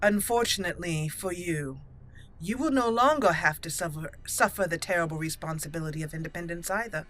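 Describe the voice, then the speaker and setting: A woman's voice: on the low side, brisk, a bit bright, a little hiss or hum, lightly acted. A woman voicing a stern, defiant elf mage in a roleplayed game, speaking in a formal, cool manner. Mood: cold contempt. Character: stern, defiant young elf mage heroine raised among dwarves.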